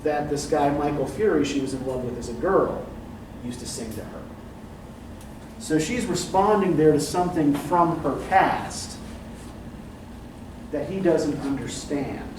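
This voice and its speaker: Male prof lecturing to a class, fairly high voice, picked up from across a roomy classroom with some noise. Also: a little slow, long pauses. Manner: thoughtful, often adds "right?" and "um". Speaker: literature professor. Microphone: distant room recording. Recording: echoey classroom, distant mic, background noise.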